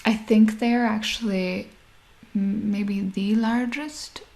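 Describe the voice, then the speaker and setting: A woman's voice: medium pitch, fairly even intonation, slow, a little mellow. A woman in her thirties who grew up in Nigeria, talking casually as an interview guest and laughing often as she talks. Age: 30s.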